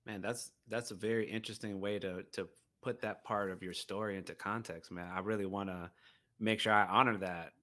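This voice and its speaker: Male podcast host; low, bright tone; affirming listener who asks thoughtful follow-ups. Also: fairly even intonation, brisk. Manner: affirming, asks considered questions. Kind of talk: conversational interview.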